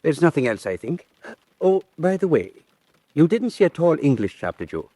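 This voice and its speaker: A man playing a brisk, officious county road surveyor in a radio drama, formal and businesslike. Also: on the high side, sing-song, warm tone, no echo.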